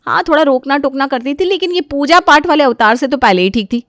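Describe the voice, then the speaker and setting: A woman's voice: sits high, fast, a bit bright, dry recording, clean studio sound. A woman voicing a respectful but exasperated elder daughter-in-law, pleading and complaining in a moral story. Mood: pleading complaint. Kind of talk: acted dialogue in a moral story.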